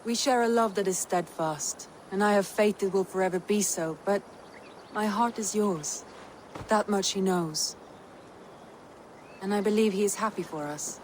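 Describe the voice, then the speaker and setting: A woman playing a composed, loving Norse stateswoman in a video game, with formal, deliberate and sincere delivery. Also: medium pitch, darkish tone, lightly acted, poor audio. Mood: tender, solemn. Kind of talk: video game dramatic dialogue. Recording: outdoor ambient noise behind voice.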